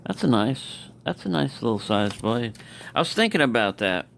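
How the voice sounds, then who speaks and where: A man's voice: mid-range voice, very animated, moderate pace, gravelly, a bit bright, a little hiss or hum. A man giving a casual, unscripted product review, rambling and correcting himself as he goes. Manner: rambling, self-correcting, mumbling asides. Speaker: knife reviewer.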